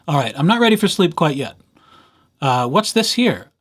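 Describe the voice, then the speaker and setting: Male tutorial host, slightly high voice, very animated intonation, casual and wry with playful asides. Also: moderate pace. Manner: playful, dryly humorous asides. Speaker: library presenter, tutorial host. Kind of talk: casual screen-share software tutorial.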